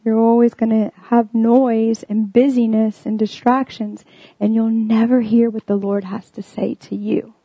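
A woman's voice: on the high side, little pitch movement, unhurried, mellow, slightly rough, no echo. A young woman giving a casual, earnest sermon, filling her talk with "like" and "um". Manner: earnest, full of "like" and "um" fillers. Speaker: preacher.

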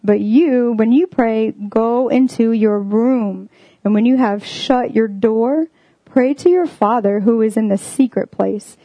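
Young female preacher, earnest and chatty, higher pitch, dry close recording. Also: takes it slowly, warm tone, dry recording, poor audio. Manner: earnest, full of "like" and "um" fillers. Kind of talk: casual sermon.

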